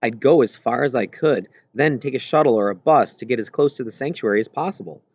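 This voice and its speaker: A man's voice: mid-range voice, brisk, slightly rough. A man reading a first-person story aloud as scripted audiobook narration. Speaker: audiobook reader. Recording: roomy echo, slightly dull lo-fi sound.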